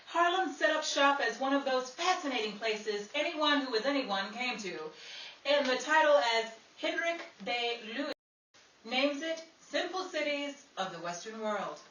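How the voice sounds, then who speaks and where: A woman acts out a sassy newswoman from a 1930s radio show. Her voice is high and bright, with theatrical delivery, heard from a distance in a roomy, slightly hissy recording. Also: animated, measured pace. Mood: grand, narrating. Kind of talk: 1930s radio comedy acting and scripted narration. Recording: roomy, distant mic with background hiss.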